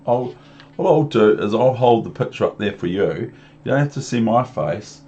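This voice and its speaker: A man's voice: on the low side, dark tone, dull audio. A man with a New Zealand accent reading a storybook aloud to young children, with chatty asides. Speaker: storybook reader.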